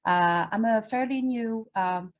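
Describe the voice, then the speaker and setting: A woman's voice: on the high side, a little slow. A woman from Algeria who is a medical advisor, introducing herself politely on a video call with a few stumbles.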